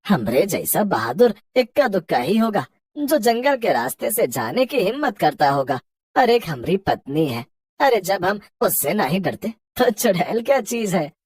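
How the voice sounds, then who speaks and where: A woman voicing an excitable, boastful village husband with a rustic Hindi accent in a horror cartoon. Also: medium pitch, unhurried, breathy edge, dry recording, clean studio sound. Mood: cocky. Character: boastful village husband, cocky but easily terrified. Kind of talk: cartoon horror story acting.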